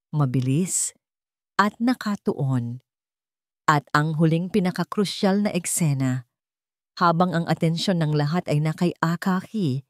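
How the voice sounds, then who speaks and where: A woman's voice: sits low, no room sound, clean studio sound. A woman reading a dramatic scripted story as its narrator.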